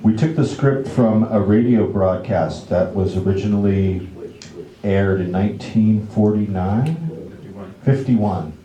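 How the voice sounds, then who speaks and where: Low, very mellow man hosting in an echoey room. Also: a little slow. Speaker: event host introducing a staged reading. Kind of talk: casual live introduction to an audience. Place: indoor library event room.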